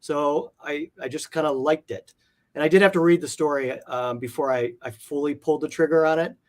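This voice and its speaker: A man's voice: fairly high pitch, fairly quick. A man speaking casually as an interview guest, explaining things in a hesitant, circling way. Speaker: guest, comic art collector.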